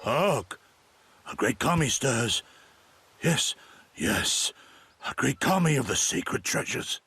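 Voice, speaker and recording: An actor playing a reverent turtle-folk warrior priest in a game, with a rough voice and formal, declamatory delivery. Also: male voice, mid-range voice, sing-song, slow, sharp tone, dry recording, full character voice. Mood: reverent, fervent. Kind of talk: theatrical game cutscene acting.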